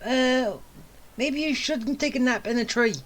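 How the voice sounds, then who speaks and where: A game streamer giving casual, jokey, halting commentary while playing along. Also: high voice, fairly bright tone.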